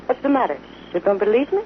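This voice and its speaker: A woman's voice: mid-range voice, natural voice. Woman acting coy, teasing western temptress, muffled. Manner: coy, playful, deliberate. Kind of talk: old-time radio western acting. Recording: muffled old radio recording, faint noise.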